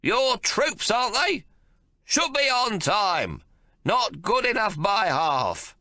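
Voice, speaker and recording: A man voicing an irascible, half-blind very old man who shouts bluntly. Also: sits high, very animated, takes it slowly, dry recording, clean studio sound, voice pushed up, full character voice. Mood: irate.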